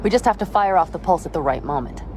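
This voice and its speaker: An actress playing a tough young woman mercenary in a video game, brisk, practical and wry. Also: fairly low pitch, expressive, darkish tone, lightly acted. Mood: focused, wry. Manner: brisk, practical, wry, casually foul-mouthed. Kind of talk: video game cutscene dialogue, naturalistic. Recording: clear vehicle and ambience noise behind.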